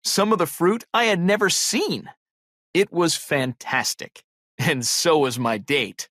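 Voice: medium pitch, slow, fairly bright tone, no echo, clean studio sound. A speaker reading a scripted listening exercise in a chatty, light way. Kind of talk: scripted read-aloud.

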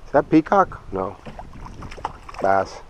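A man's voice: sits low, big pitch swings, takes it slowly. A man talking casually as he films himself fishing from a small boat. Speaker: angler filming a fishing trip. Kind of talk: casual vlog chat while fishing. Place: small open boat on a waterway, outdoors. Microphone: chest or head action camera worn by speaker. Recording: outdoor action camera, clear background noise.

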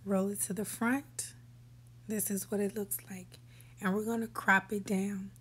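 A woman hosting a screen-recorded app tutorial, giving relaxed step-by-step instructions that sometimes drop to a whisper. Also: medium pitch, measured pace, slightly rough. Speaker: tutorial host. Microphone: voice-over for a screen recording.

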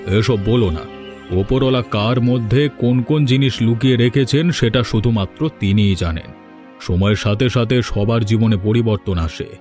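A man voicing a gentle, pious, forgiving family member in a moral story cartoon, speaking calmly and prayerfully. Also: medium pitch, moderate pace, faint music behind, natural voice. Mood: calm, hopeful. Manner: calm, prayerful, forbearing. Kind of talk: dramatic cartoon dialogue.

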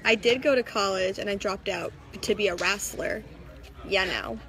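A woman's voice: medium pitch, normal speed, fairly bright tone, rough recording. A young woman chatting casually on a phone livestream, laid-back and teasing, laughing often. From Toronto, Canada. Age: young adult. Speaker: livestream co-host, wrestler. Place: small indoor room. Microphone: phone camera held close.

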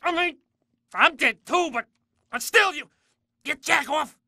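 An actor playing a feisty, foul-mouthed undead little sidekick in a video game, bratty and excitable. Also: male voice, very high-pitched, measured pace, dry recording, studio quality, heavily acted. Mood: gleeful, vengeful. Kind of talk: video game cutscene acting.

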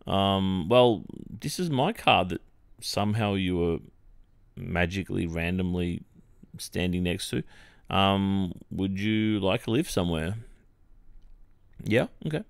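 An Australian man playing an awkward, polite young college football captain in a boyfriend roleplay, speaking in a hesitant, halting way. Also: low voice, creaky, smooth, no echo, heavily acted. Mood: bashful, awkward. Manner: hesitant, halting, with many pauses and soft awkward filler. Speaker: ASMR roleplay performer. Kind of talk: ASMR boyfriend roleplay, one-sided improvised-sounding dialogue.

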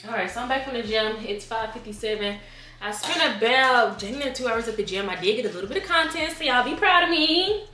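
A young woman with a Southern American accent vlogging casually from her apartment kitchen, chatty and excitable. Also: fairly high pitch, brisk. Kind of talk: casual vlog chat. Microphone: camera at a distance. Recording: echoey room, clear background noise.

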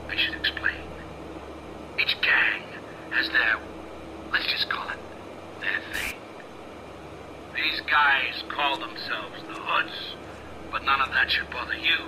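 Sadistic, swooping, slow-drawn director. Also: male voice, medium pitch, low-quality recording. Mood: instructing. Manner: coaxing, menacing, theatrical. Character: sadistic male snuff-film director ordering a captive around. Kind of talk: video game voice acting.

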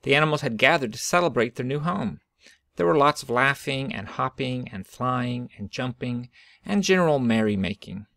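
A man reading a children's picture book aloud in an expressive storytelling style. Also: medium pitch, normal speed, gravelly, no room sound. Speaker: storybook reader.

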